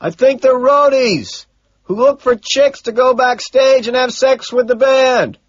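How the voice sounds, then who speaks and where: A man voicing a smug young male banker in an audiobook, shouting back loudly with drawn-out words. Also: extremely high pitch, dry recording, highs cut off, pitched above the performer's own voice. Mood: shouting, offhand.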